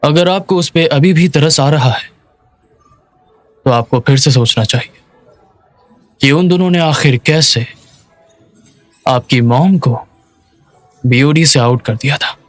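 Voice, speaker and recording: Scheming businessman, slightly high voice. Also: slow pace, long pauses, soft background music. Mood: confident, goading. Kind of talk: dubbed TV drama acting.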